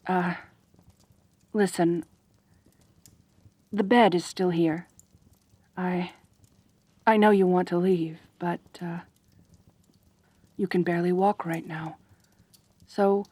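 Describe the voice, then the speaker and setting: A woman performs a centaur girl for an ASMR roleplay drama, lonely and possessive but tender underneath. Her delivery is unhurried, hesitant and earnest, with stammered, pleading phrases. The sound is dry and intimate, with no room around the voice. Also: on the low side, fairly even intonation, darkish tone, breathy edge, dry recording. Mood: soft, tentative. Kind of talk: roleplay ASMR audio drama, intimate one-sided dialogue.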